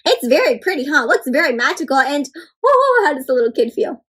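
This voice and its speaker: A high-voiced woman reads a picture book aloud for children. She tells it expressively, with exaggerated gasps, and often turns to her young listeners with direct questions. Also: big pitch swings, fairly quick, fairly bright tone. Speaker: children's read-aloud host.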